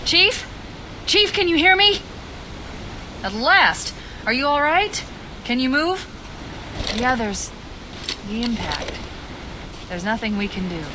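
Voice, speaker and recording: A woman voicing a confident, quick-witted female military AI in a video game, speaking crisply and briskly. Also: sits high, animated, background noise. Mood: urgent. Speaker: military AI companion. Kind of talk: video game cutscene acting.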